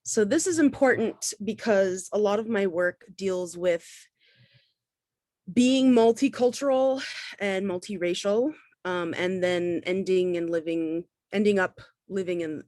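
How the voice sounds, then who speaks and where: A woman's voice: on the low side, a little slow, a little mellow, no echo, clean studio sound. A woman from the Bahamas giving an informal artist talk in a conversational, explanatory way.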